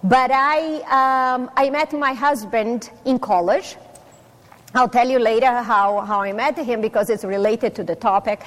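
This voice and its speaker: Brazilian woman speaking in a hall. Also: fairly high pitch. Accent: Brazilian Portuguese. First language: Portuguese. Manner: chatty, self-deprecating, many fillers. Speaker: conference speaker. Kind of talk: personal talk to a live audience. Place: conference hall. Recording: long reverb of a hall.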